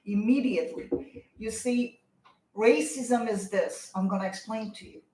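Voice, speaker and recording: Woman co-host, emphatic lecturing delivery, slightly high voice, echoey room. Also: a little slow, warm tone. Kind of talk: opinionated talk show discussion.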